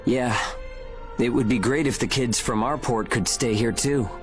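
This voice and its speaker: A man's voice: mid-range voice, a little slow, natural voice. Man acting earnest young game hero over music. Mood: sincere, reflective. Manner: earnest, persuasive. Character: earnest, determined young man leading his crew. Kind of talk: dramatic video game voice acting.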